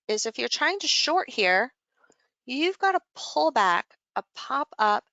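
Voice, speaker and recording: High-voiced woman, clear and crisp, coaching live. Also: bright, forceful tone, no echo. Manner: conversational, explanatory, thinking aloud. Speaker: trading coach hosting a live session. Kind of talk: informal live chart commentary.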